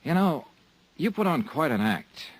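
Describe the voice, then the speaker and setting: A man's voice: on the high side, very animated, a little slow, no echo, poor audio. An actor playing a hard-boiled male insurance investigator who narrates a 1950s radio drama in a dry, tough manner. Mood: wry. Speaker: narrator and lead.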